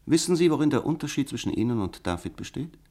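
A man's voice: medium pitch, sing-song, quick pace, mellow. An actor in a German radio play playing a worldly, cynical man who lectures pointedly with rhetorical questions. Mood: lecturing, insistent.

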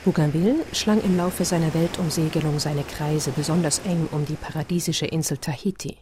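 A woman with a very low-pitched, soft-toned voice reads literary narration in a composed, measured way. Her voice has a husky edge and is recorded dry and close. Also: dry recording.

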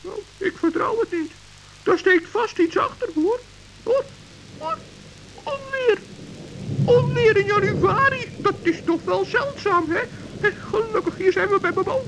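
A woman's voice: very high voice, lively intonation, measured pace, exaggerated voice. A woman playing a small, anxious but plucky male forest gnome in a children's radio play, exclaiming and prone to stammer. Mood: earnest. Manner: exclaiming, stammering when nervous. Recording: dull, hissy old radio recording.

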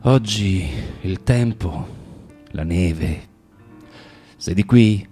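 An actor playing a bitter, self-loathing drinker who moralizes haltingly and insistently in a radio drama. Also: male voice, sits low, dark tone, soft background music. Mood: probing, subdued. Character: bitter, preachy, self-loathing male drinker lecturing a young prostitute.

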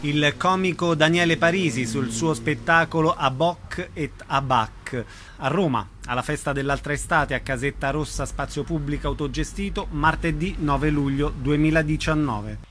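A man's voice: on the high side, normal speed, fairly bright tone. A man hosting a radio interview, introducing his guest and asking questions. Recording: faint background noise.

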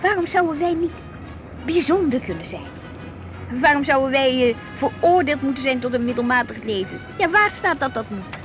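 A high, very animated woman's voice. She is a married housewife, brooding and plaintive about her humdrum life. Music plays clearly behind her. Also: normal speed. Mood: indignant. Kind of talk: radio play acting.

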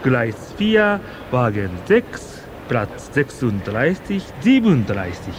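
Ein Schauspieler mit japanischer Muttersprache spielt einen verspielten, leicht verlegenen jungen Reisenden im natürlichen Plauderton eines Hörspiels. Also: male voice, mid-range voice, very animated, slow pace. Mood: relaxed. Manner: casual, playful, chatty. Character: playful young Japanese traveller, easily embarrassed boyfriend. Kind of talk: naturalistic radio drama dialogue. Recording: noisy on-location urban ambience.

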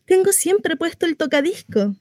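A woman plays a naive, lonely housewife in a radio monologue. Her voice is warm and mellow, in a very dry close recording. She rambles confidingly, insisting cheerfully on her good life and turning coy over delicate matters. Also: very high-pitched, sing-song, moderate pace, no echo, voice pushed up. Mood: bright, neighborly. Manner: gossipy, rambling, confiding, coyly hesitant. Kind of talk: theatrical monologue read for radio.

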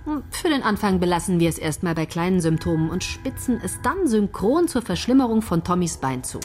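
A brisk, bossy head writer drives her team along with a lively, swinging voice. Music plays clearly under the radio drama scene. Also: on the low side. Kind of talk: radio play acting.

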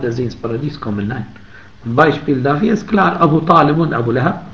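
A man giving a religious lesson in German, emphatic on key points and pausing with fillers. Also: fairly high pitch. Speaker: Islamic teacher. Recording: roomy, muffled, faint ambience.

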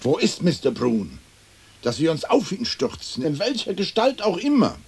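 Pompous, swooping, husky lord. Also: male voice, a little high, sing-song, moderate pace, darkish tone, full character voice. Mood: indignant, vengeful. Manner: pompous, theatrical declamation. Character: haughty, indignant lord turning into a bird, vengeful. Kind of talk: stylized German radio play acting.

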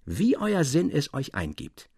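Man acting a stern old miner, solemn and persuasive, wide swings in pitch, gravelly, storybook reading. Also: wildly swooping pitch, a little slow, darkish tone, no room sound, studio quality, exaggerated voice. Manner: emphatic, solemn, old-fashioned formal address. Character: old male miner, stern, persuasive, fervently proud of mining.

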